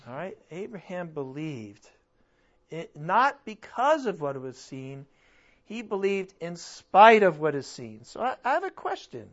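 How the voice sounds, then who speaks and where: Pastor guy preaching, voice kinda worn and strained, high pitched, dull lo-fi sound. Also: sing-song, a little slow. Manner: emphatic, rhetorical questions, swings between loud and soft. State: strained, almost losing his voice. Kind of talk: sermon.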